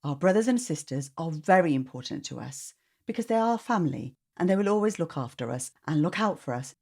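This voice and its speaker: A woman reading a storybook aloud to children in an expressive narrating style. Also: low-pitched, fairly quick.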